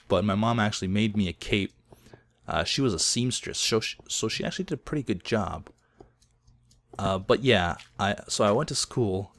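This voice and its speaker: A man in his thirties, somewhat hoarse, telling chatty, self-deprecating stories while he plays a game. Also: fairly low pitch, fairly bright tone. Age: 30s. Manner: chatty storytelling, self-deprecating, laughs often. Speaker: gaming video host. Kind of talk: casual gameplay commentary.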